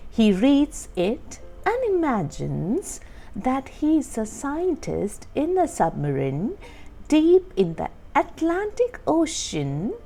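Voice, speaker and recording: A woman reading a children's storybook aloud as a storytime host, with expressive, deliberate phrasing for young listeners. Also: sits high. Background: background music.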